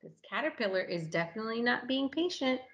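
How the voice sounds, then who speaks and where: Children's read-aloud presenter, woman, thirties, high-ish voice, very lively intonation. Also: fast talker, dull audio, a little hiss or hum. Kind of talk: animated storybook reading.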